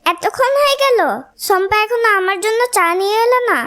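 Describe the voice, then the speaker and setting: A woman's voice: very high-pitched, fairly quick, sharp tone, a little hiss or hum. A woman voicing a haughty, spiteful young wife in a cartoon melodrama, scolding and domineering. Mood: demanding, irritated. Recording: music under the voice.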